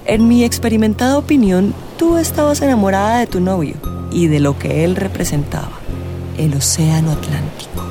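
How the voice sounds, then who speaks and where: An actor playing an eccentric, probing astrologer in a radio drama, speaking in dramatic, drawn-out pronouncements. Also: medium pitch, natural voice. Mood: confident, analytical. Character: eccentric astrologer, theatrical, teasing, probing, solemn. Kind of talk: theatrical radio drama acting. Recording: music and vehicle sounds behind. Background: music, real outdoor soundscapes.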